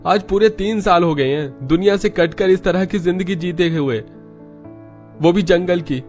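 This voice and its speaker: A man playing a bitter, wronged husband in an animated family melodrama. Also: sits high, unhurried, soft background music. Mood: bitter, despairing. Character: bitter, wronged young husband and struggling farmer. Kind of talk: animated Hindi family story acting.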